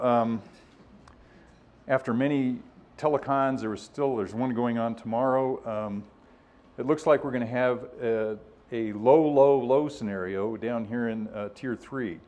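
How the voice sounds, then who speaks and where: A man's voice: mid-range voice, measured pace, darkish tone. A man presenting at a scientific workshop in an informal, conversational way, explaining things and voicing other people's positions as he goes.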